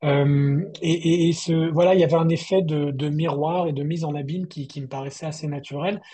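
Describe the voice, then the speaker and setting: A male novelist from southern France in a reflective online interview, speaking thoughtfully and haltingly, often restarting his words. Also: a little high, steady tone, mellow. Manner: thoughtful, halting delivery with repeated word starts. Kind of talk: reflective online literary conversation. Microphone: online video call.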